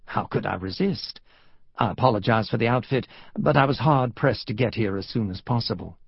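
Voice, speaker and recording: A man voicing a cultured, wry gentleman investigator with a smooth Southern drawl in an audiobook. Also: medium pitch, fairly quick, no room sound, lo-fi sound. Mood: wry, suave. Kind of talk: audiobook character voice.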